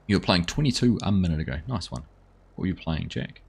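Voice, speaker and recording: A man's voice: sits low, sing-song, fast, creaky, breathy edge. A man chatting casually while live-streaming a farming game, thanking viewers and answering their messages.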